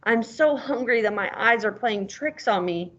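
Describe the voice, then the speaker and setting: A woman's voice: on the high side, expressive, moderate pace, a bit bright. A woman voicing a hungry storybook wolf who wants to seem big and dangerous, on a dull lo-fi recording. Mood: hungry, musing. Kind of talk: storybook reading.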